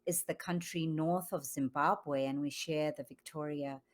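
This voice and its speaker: A woman from Zambia, a yoga studio founder, speaking calmly and reflectively as a guest on a video call interview. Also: low-pitched, unhurried. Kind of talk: online interview conversation.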